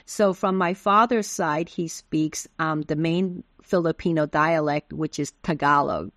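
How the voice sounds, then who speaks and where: Taiwan-born woman guest, lowish voice. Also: measured pace, fairly bright tone, no echo, clean studio sound. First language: Taiwanese Hokkien. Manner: patient, explanatory. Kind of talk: interview answers.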